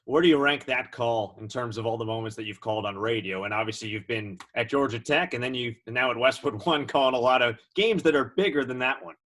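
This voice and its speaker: A man with a medium-pitched voice hosts a sports interview. He talks conversationally and lets his questions ramble in a chatty way. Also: a little fast, hardly any pauses, fairly bright tone. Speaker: interview host.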